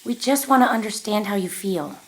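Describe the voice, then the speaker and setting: A woman playing a supportive, concerned mother in an audio drama, speaking gently and carefully. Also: medium pitch, fairly quick, natural voice. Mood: concerned, gentle. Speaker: actress in a scripted podcast drama. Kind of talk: naturalistic audio drama acting.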